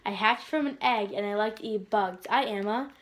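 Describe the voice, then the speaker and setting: A woman reading a simple children's book aloud in a measured, deliberate way. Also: medium pitch. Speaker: picture book reader.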